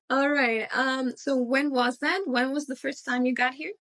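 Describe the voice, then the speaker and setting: This is a woman with a somewhat high voice. She hosts an interview podcast and speaks conversationally as she addresses listeners and her guest. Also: unhurried, fairly bright tone.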